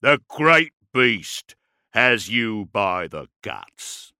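Guttural orc warlord, menacing, blunt, cunning, wide swings in pitch, dry close recording. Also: male voice, very animated, extremely slow, pushed and bright, clean tone, no room sound, heavily acted. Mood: menacing, contemptuous. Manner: blunt, unhesitating, terse threats. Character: brutish orc ambassador, menacing, cunning and contemptuous.

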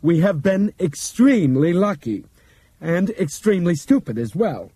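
A man voicing a shaken middle-aged man who speaks slowly and solemnly, like a formal announcement. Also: sits high, very animated, dark tone, slightly rough, dry recording, pitched above the performer's own voice, heavily acted. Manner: slow, measured, solemn announcing.

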